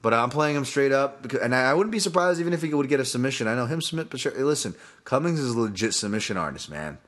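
A man's voice: mid-range voice, lively intonation, fast talker, fairly bright tone. A man with a Hawaiian accent hosting a casual solo podcast, talking in a rambling way with many restarts and casual swearing. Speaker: podcast host and fight analyst.

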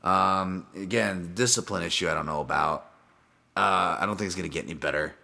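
Low-voiced man with a Hawaiian accent. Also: brisk, creaky, sharp tone. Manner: rambling, frequent restarts and casual swearing. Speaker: podcast host and fight analyst. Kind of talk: casual solo podcast breakdown.

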